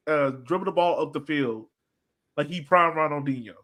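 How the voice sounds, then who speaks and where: A man's voice: a little high, normal speed, a loud crowd. A man hosting a casual sports podcast panel, talking in an informal, slangy and playful way. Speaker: podcast host.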